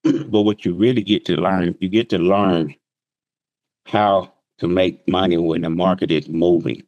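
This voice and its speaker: A man's voice: sits low, fairly even intonation, measured pace, a little mellow, dry recording, lo-fi sound. A man teaching trading, explaining plainly with everyday examples on a remote call. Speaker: trading instructor. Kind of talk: casual interview answers.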